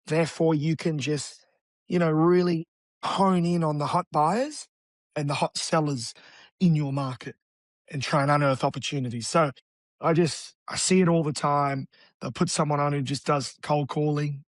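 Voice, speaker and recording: A man, a real estate agent talking as an interview guest in an energetic, blunt, casual way. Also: on the high side, normal speed, no echo. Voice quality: raspy.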